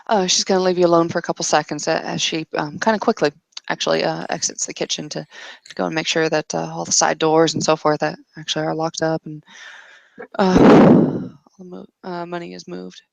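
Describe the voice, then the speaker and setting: Halting woman narrating with a creaky low voice. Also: level tone, a little mellow, no room sound. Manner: halting, thinking aloud. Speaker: roleplay player. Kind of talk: improvised narration of a tabletop roleplay.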